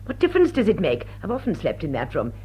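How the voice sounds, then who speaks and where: An actress playing a gracious, doting upper-class hostess in a period drawing-room radio drama, with genteel, emphatic phrasing. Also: female voice, fairly low pitch, huge pitch swings, fast, warm tone, slightly rough, full character voice. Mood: concerned, indulgent.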